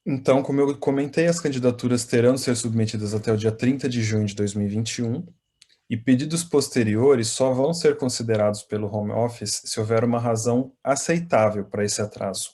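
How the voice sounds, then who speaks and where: A man from Brazil with a Brazilian Portuguese accent, presenting as a volunteer consultant at an online information session in a steady, explanatory way. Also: medium pitch, a little fast. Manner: steady explanatory, frequent fillers.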